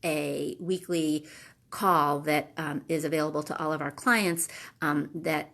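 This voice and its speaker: A woman's voice: low voice, steady tone, a little slow, a bit bright. A middle-aged woman, congested and nasal from allergies, hosting a casual live talk full of ums and restarts. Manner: hesitant, many ums and restarts. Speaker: live video host.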